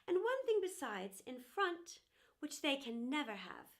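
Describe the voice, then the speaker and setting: A high-pitched, self-important porcelain teapot with a wistful streak, voiced by a woman. It tells its life story in a grand, emphatic storybook manner meant for children. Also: very animated, unhurried, heavily acted. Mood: proud, self-important. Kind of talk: children's storybook character narration.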